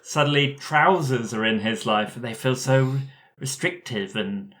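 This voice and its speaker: A man's voice: medium pitch, a little slow, a bit bright, slight background noise. A man improvising a story casually as a player in a tabletop game.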